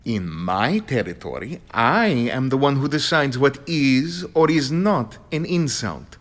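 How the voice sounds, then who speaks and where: An actor playing a cocky, shrewd bandit chief in an audio drama, with a taunting, theatrical manner. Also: male voice, mid-range voice, big pitch swings, a little slow, fairly bright tone. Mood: mocking, challenging.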